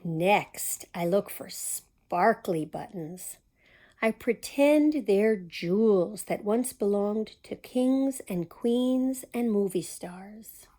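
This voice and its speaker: Slow, expressive woman reading a storybook. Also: medium pitch. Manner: expressive, addressing young children with small asides. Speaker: storytime reader at a community library. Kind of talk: read-aloud of a children's picture book.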